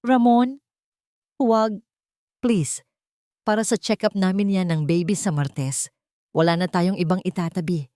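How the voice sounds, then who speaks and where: A woman's voice: on the low side, fairly even intonation, measured pace, long pauses, warm tone, no echo, clean studio sound, close to an everyday voice. A woman voicing a frightened young pregnant wife in an audio drama, pleading and fearful. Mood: pleading, afraid.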